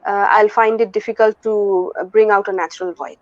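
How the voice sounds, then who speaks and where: A soft-voiced woman in her forties with an Indian English accent, speaking thoughtfully as an interview guest with many pauses and restarts. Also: mid-range voice, steady tone, dry recording. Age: 40s. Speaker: guest vocalist and music teacher. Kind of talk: candid conversational interview. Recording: dull lo-fi dry recording.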